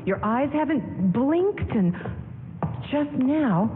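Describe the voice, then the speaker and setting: A woman's voice: high voice, animated, slow, faint music behind. An actress playing a tender, guarded young woman in love, in a 1940s-style radio drama. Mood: shaken, admiring. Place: live stage in a town hall. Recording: tinny broadcast from a reverberant hall.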